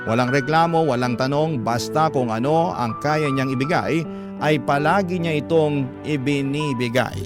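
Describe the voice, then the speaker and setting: Man acting grown guy telling his life story, sentimental radio drama narration, medium pitch, music behind. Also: normal speed, close to an everyday voice. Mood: wistful.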